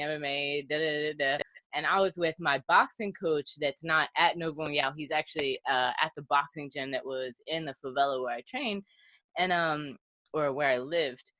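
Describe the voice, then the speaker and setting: A woman's voice: sits low, a bit bright, no room sound. Chatty, laughing woman on a dry mic. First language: not Portuguese; Portuguese is her third language. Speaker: podcast co-host. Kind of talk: casual podcast storytelling.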